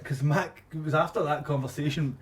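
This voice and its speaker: A man's voice: on the high side, steady tone, fairly quick. A Scottish man co-hosting a podcast in casual, teasing, sweary banter. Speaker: podcast co-host. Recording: clear background noise, clicks.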